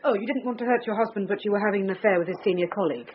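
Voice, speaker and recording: An actress playing a probing, wry police detective in a British radio drama, with a British accent. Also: female voice, medium pitch, rapid-fire, highs cut off, slight background noise, natural voice. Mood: brisk, businesslike.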